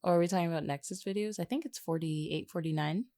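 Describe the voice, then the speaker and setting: A woman with a low-pitched voice chats casually as a podcast co-host. She teases and grumbles dryly, bantering in an unscripted way. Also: quick pace, warm tone, dry recording, clean studio sound. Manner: teasing, dryly complaining.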